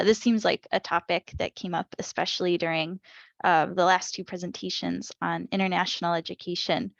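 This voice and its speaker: A woman moderating a panel discussion, asking polite, measured questions. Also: fairly low pitch, dry recording. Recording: dull dry audio.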